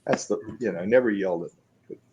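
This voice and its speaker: A man in his seventies telling stories as a guest in an interview, rambling and halting with many restarts, speaking over a video call from a room at home. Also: lowish voice, muffled recording, a crowd in the background. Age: 70s. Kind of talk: casual storytelling.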